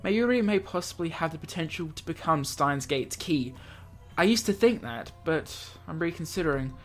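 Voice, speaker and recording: A man's voice: fairly high pitch, expressive, normal speed, soft background music. A man hosting a let's play, reading visual novel text dramatically and breaking off for playful asides. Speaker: gaming video host reading aloud.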